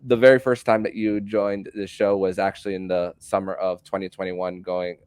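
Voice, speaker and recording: A man in his thirties hosting a podcast, chatting casually and halting often to restart his sentences. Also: low-pitched, flat delivery, a little mellow, clear, resonant tone, no room sound. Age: 30s. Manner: halting, repeats words while asking questions. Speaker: podcast host.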